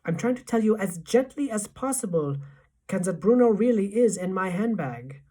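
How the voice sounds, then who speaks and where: Woman doing a measured, wily old grandmother. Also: medium pitch, animated, dark tone, voice pushed up, lightly acted. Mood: patient, insistent.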